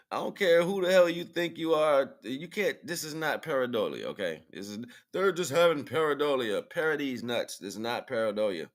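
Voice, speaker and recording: A man's voice: medium pitch, lively intonation, normal speed. A man giving casual livestream commentary in informal, slangy talk, repeating phrases for emphasis as he hosts. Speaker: livestream host.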